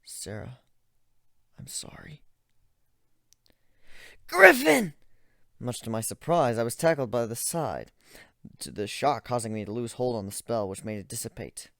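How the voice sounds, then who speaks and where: Male amateur story narrator, deliberate and brooding, animated intonation, dry close sound. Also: mid-range voice, dry recording. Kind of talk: dramatic story reading.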